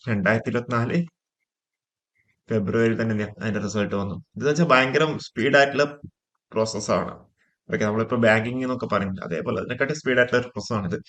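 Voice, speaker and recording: A man's voice: mid-range voice, fairly bright tone. A man with a Malayali accent presenting an informal coaching explainer to viewers, mixing in English terms and repeating stock phrases.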